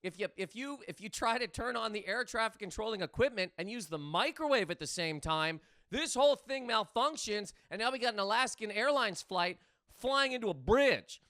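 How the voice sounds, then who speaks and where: A man with a high, sharp, forceful voice delivers a comedic rant straight to camera. He is exasperated and incredulous, leaning hard on words and stammering into repetitions. The sound is crisp, clean and dry. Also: expressive, moderate pace, hardly any pauses, dry recording. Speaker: comedian and host.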